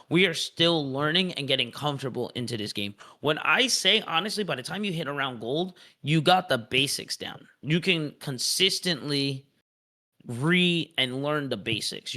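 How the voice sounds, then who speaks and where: Guy hosting an esports stream, bright forceful voice, hypes things up and says stuff twice. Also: on the high side. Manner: upbeat, repeats words for emphasis. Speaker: esports league host. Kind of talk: casual live-stream esports chat.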